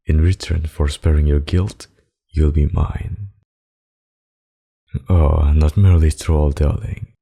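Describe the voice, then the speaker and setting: A man playing a possessive, sadistic vampire lord in an ASMR roleplay, speaking in a husky murmur with slow, formal menace and mocking endearments. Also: dark tone, heavily acted. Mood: possessive, seductive. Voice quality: very deep, husky, gravelly murmur.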